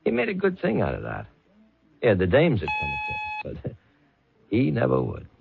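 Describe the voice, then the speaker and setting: A man's voice: mid-range voice, wildly swooping pitch, normal speed, creaky, full character voice. An actor playing a wisecracking nightclub owner in an old radio mystery, with slangy, hesitating tough-guy talk. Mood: sly, recollecting. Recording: dull vintage radio, faint music.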